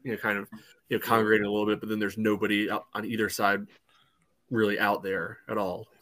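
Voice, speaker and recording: Bright, even-toned man talking soccer. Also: on the low side, fast, no echo. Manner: hesitant phrasing peppered with "you know". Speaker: sports podcast panelist. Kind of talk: casual soccer analysis.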